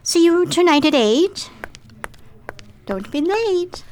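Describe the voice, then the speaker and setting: A woman plays an affected, chic hostess in a Jazz Age radio play. Her voice is high and swoops with effusive charm, over noticeable background noise. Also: very animated, takes it slowly, a little mellow, full character voice. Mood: gracious, coaxing. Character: chic, gushing 1920s society hostess, sly and sociable. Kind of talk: 1920s-set radio play acting.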